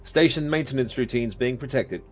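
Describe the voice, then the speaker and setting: A dutiful station AI, played by a man with a mid-pitched voice. It is calm and procedural, giving clipped technical reports in a science-fiction role-play. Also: moderate pace, soft background music, natural voice. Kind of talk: improvised sci-fi role-play.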